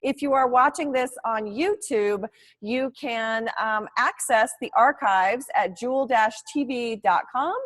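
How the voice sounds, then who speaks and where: Woman host, higher voice, bubbly and chatty, laughs a lot. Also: measured pace, a bit bright. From Oregon, USA. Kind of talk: casual live-stream chat.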